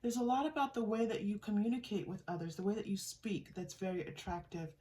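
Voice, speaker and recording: A woman's voice: medium pitch, fairly even intonation, brisk, dark tone. A woman giving a casual, improvised tarot reading, speaking directly to the listener in an encouraging, conversational way. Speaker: tarot reader hosting a reading. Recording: distant mic with faint noise.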